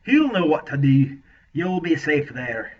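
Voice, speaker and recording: A man's voice: fairly high pitch, sing-song, measured pace. A man voicing a cheerful, battle-loving Scottish warrior in a put-on Scottish accent, recorded slightly distant and dull. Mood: confident.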